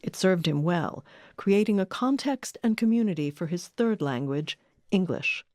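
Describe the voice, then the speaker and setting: A woman's voice: lowish voice, expressive, moderate pace, darkish tone, no room sound. A woman reading scripted documentary narration as the narrator, close on a studio microphone.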